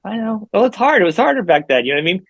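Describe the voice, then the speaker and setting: A man hosting an interview, talking quickly and enthusiastically in a chatty way. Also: sits high, big pitch swings, dry recording. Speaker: podcast host. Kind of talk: interview conversation. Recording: dry, dull lo-fi remote audio.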